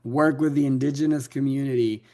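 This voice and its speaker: A man's voice: mid-range voice, fairly even intonation, brisk, warm tone. Fluent man, raised in Ecuador. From Latin America, raised in Ecuador. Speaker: film director. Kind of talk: interview answers.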